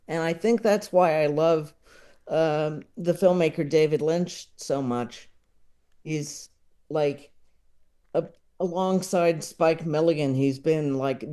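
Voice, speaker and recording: A man chatting casually as a podcast guest, talking in a halting, rambling way. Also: fairly high pitch, warm tone. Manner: halting, rambling, with chuckling asides. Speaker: podcast guest, comedy enthusiast. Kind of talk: casual interview conversation.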